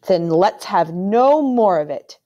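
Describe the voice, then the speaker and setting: A harsh, commanding storybook king who booms with sudden force and lingers on his words, as a woman reader puts him on. He is cold and menacing toward a child. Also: animated, slow, dark tone, lo-fi sound. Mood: stern. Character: stern cold male king, menacing uncle.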